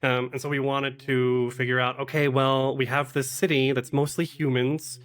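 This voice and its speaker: Male podcast guest, medium pitch, conversational and informal interview answers. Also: level tone, normal speed, fairly bright tone. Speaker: podcast guest, actual-play podcaster and game master. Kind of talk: casual interview chat.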